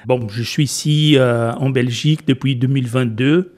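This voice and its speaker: A man's voice: medium pitch, steady tone, normal speed, a little mellow. A middle-aged Brazilian man with a Portuguese accent, speaking French as a guest in a radio interview, hesitant with many fillers and restarts. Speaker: physics lecturer, guest.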